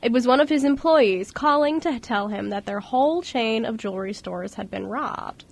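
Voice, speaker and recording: Female narrator for an amateur radio drama, higher-pitched voice, reading a scripted story. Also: normal speed, gravelly. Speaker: radio show co-host and narrator.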